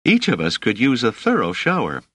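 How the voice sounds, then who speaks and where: A man's voice: medium pitch, huge pitch swings, a little slow, husky, dry recording, pitched above the performer's own voice, full character voice. A man voicing a guarded, demanding woman scholar in an audiobook, with a stiff, formal and insistent manner.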